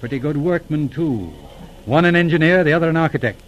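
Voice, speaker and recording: An actor in a 1940s radio drama playing a shrewd, calm, middle-aged police inspector with a measured, probing manner. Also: male voice, a little high, lightly acted. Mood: calmly probing. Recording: old radio drama recording.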